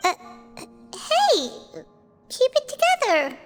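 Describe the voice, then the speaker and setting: An extremely high, squeaky little sprite for a video game, cheeky and boastful like a small child. The pitch leaps around wildly at an unhurried pace. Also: female voice, wildly swooping pitch, big-room sound, heavily acted. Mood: alarmed. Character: tiny childlike cheeky floating sprite companion. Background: loud background music.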